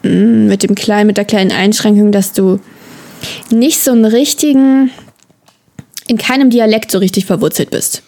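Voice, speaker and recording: A woman's voice: medium pitch, fairly even intonation, moderate pace, gravelly, mellow. A woman co-hosting a casual podcast chat, explaining things in a teasing manner. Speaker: podcast co-host.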